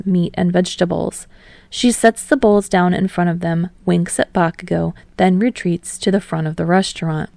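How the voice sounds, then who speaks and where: Female audiobook narrator: dark, relaxed tone with noticeable creak, scripted storytelling. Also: medium pitch, no room sound, studio quality. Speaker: podfic narrator.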